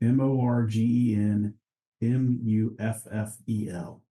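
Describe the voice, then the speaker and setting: A man, a guest on an interview podcast, talking in a relaxed way with frequent restarts and easy laughs. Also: fairly low pitch, takes it slowly. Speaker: guest, international trade director. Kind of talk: casual interview conversation.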